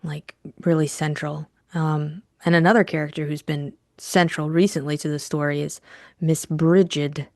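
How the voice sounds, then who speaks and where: A woman with a low voice chats informally as co-host of a book discussion. Her phrasing is youthful and loose, and the sound is close and dry. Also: level tone, moderate pace, darkish tone, no room sound.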